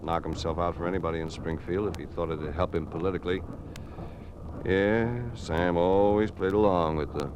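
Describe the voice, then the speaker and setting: A very low American male voice from an actor playing a wry, reflective colleague in an old radio play. He muses plainly over a noisy vintage broadcast. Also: steady tone, normal speed, lightly acted. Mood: wry, musing. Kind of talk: 1950s American radio drama acting.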